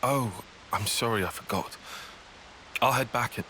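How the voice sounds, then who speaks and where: An actor playing a guarded, dry-witted young soldier in a video game cutscene, sounding sardonic. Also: male voice, medium pitch, measured pace, sharp tone, slight background noise.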